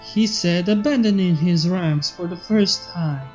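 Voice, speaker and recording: Young woman narrating over soft music. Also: lowish voice, measured pace. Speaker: fanfiction reader and channel host. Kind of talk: story narration. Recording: slightly dull audio, soft background music.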